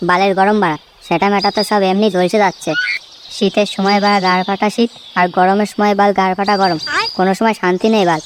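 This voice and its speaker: A woman's voice: mid-range voice, level tone, quick pace, noisy background, close to an everyday voice. A woman voicing foul-mouthed, bickering young village lads in a comedy cartoon, crude and slangy. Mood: grumbling. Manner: crude, slangy, cursing constantly.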